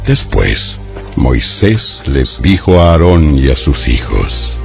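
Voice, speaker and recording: A man narrating a dramatized Bible audiobook in a measured, solemn storytelling manner, on a somewhat dull recording. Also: extremely low pitch, big pitch swings, gravelly, soft background music.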